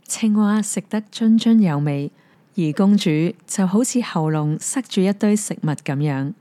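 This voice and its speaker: A woman with a Hong Kong Cantonese accent gently narrating a fairy tale. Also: mid-range voice, a little slow, no room sound. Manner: gentle, even storytelling. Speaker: storyteller. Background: soft piano music.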